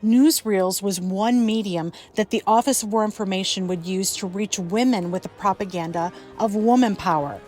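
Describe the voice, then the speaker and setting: A woman delivers scripted educational narration at a middle pitch. Clear music plays behind her as she reads the explanatory script. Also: moderate pace, hardly any pauses, a little mellow.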